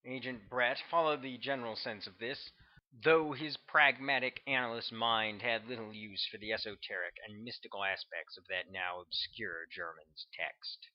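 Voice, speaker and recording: A man's voice: lowish voice, normal speed. Man narrating an audiobook, dull lo-fi sound. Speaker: author reading own audiobook.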